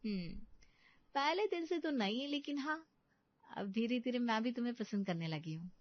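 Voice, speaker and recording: A woman's voice: fairly high pitch, lively intonation, moderate pace, no room sound, lo-fi sound, lightly acted. A woman voicing a playful, blunt young college girlfriend in a story, teasing and outspoken.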